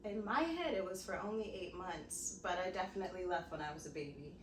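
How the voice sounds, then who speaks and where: A woman's voice: fairly low pitch, expressive, brisk, slight background noise. Playful young American woman, roomy sound. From United States. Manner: playful, laughs often, talks to listeners as y'all. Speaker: podcast co-host. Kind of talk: casual chat. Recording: roomy sound from a distant mic.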